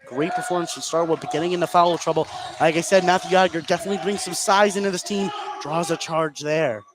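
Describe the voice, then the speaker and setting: A man's voice: on the high side, fairly even intonation, fast talker, crowd noise. A man doing live play-by-play commentary of a basketball game for radio. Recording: clear background gym noise. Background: gym ambience of a live game.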